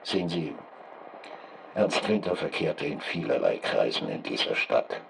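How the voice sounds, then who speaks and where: An actor in a radio play as a formal police inspector giving a guarded, clipped official statement. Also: male voice, a bass voice, very animated, a little slow, creaky, a little hiss or hum, exaggerated voice, low-quality recording.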